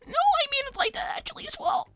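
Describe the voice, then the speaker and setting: Woman playing exasperated female cartoon group leader, piercingly high, animated swings, scolding, bossy, interrupting. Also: fast talker, no room sound. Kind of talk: improvised cartoon character roleplay. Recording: music playing behind the voice. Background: music.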